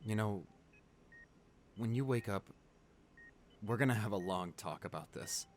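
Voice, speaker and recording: A man playing a wealthy, devoted client pleading at his comatose lover's bedside in an intimate ASMR roleplay, emotional and halting. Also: fairly low pitch, slight background noise, natural voice. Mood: tender, sorrowful. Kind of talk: ASMR boyfriend roleplay, dramatic monologue.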